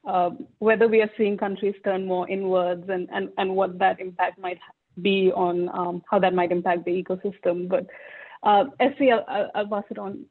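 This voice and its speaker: A woman's voice: mid-range voice, fairly even intonation, moderate pace. A woman moderating a panel discussion, conversational, with repeated words as she hands over. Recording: tinny phone-like audio.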